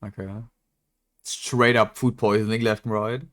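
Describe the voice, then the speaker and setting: A man's voice: lowish voice, level tone, normal speed, a bit bright. A man doing casual live game stream commentary, reacting bluntly and with swearing.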